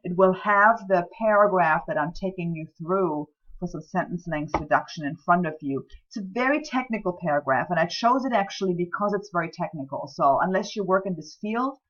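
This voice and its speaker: A woman's voice: fairly low pitch, lively intonation, brisk, few pauses, fairly bright tone, highs cut off. A woman whose first language is German, teaching scientific writing in a chatty recorded lecture full of ums and self-deprecating asides. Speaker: writing instructor.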